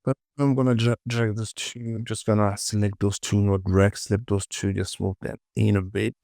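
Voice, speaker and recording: A man casually presenting a software tutorial, talking to himself as he works and repeating short phrases. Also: fairly low pitch, little pitch movement, normal speed, vocal fry, no room sound, clean studio sound.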